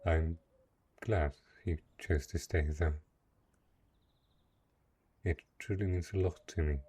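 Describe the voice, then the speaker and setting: Man acting a deep, gentle, lonely dark knight. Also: steady tone, slow, husky, full character voice. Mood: quietly possessive. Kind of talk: slow, intimate fantasy audio roleplay.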